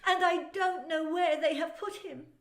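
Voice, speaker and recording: A woman's voice: extremely high pitch, fairly even intonation, unhurried, pitched above the performer's own voice. A woman playing Mary Magdalene in a dramatised Bible reading, speaking in a raised, urgent, emotional voice. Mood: distressed. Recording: roomy echo.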